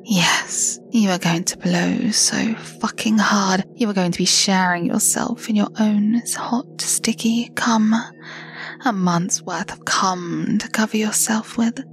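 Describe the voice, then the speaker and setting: A woman delivering a scripted intimate roleplay monologue, mostly in a slow teasing whisper with breathy sighs, close to the microphone. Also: medium pitch, steady tone. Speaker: erotic audio performer.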